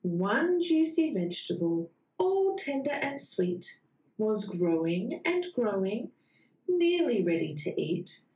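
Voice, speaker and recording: A woman reading a bedtime storybook to young children in a sing-song way, with dramatic pauses before key words. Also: fairly high pitch, big pitch swings, warm tone. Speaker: children's read-aloud host. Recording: roomy echo.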